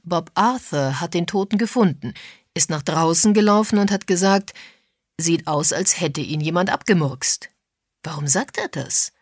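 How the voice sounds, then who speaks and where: Woman reading as composed, husky amateur sleuth man. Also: fairly low pitch, normal speed, a little mellow, dry recording, clean studio sound. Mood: brisk, methodical. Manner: methodical, explanatory.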